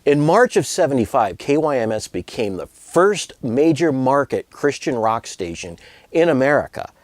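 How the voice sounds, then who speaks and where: A man's voice: medium pitch, very animated, normal speed, no room sound, studio quality. A man presenting a scripted promotional narration as the person behind a music archive project. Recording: music bed under voice.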